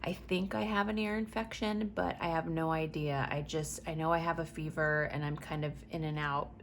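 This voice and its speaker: Sick woman vlogger, lowish raspy voice, chatty and rambling, light hiss behind. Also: fairly even intonation, normal speed. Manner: chatty and rambling, frequent ums. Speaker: planner vlogger. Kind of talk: chatty plan-with-me vlog.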